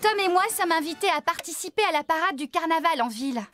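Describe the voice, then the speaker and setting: A very high-pitched lady farmer from a children's cartoon. She is brisk and responsible, kindly but firm, and music runs behind her. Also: animated, a bit bright.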